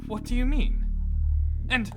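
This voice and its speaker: A man's voice: very high-pitched, steady tone, unhurried, music in the background. A man playing a cocky, glib schemer in an audio drama, talking his way around his captors with florid, mock-polite innocence. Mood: feigned innocence.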